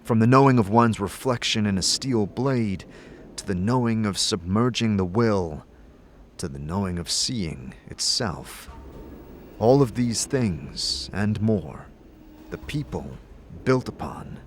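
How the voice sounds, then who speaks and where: Low-voiced male fantasy narrator. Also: lively intonation, slight background noise. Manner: measured, solemn storytelling. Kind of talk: scripted dramatic fantasy narration. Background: music.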